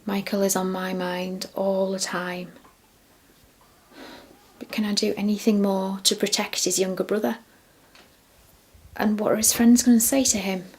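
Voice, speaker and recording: An actress playing a grieving, devastated middle-aged mother, giving a breathy testimonial monologue in a film drama. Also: mid-range voice, flat delivery, a little slow, pauses often, darkish tone. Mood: bereft.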